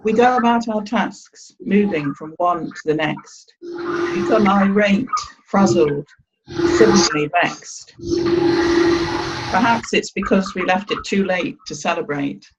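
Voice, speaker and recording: Muffled, slow-reading woman poet. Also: mid-range voice. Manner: measured, deliberate. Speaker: guest poet. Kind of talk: poetry reading. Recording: muffled, noisy line with clicks.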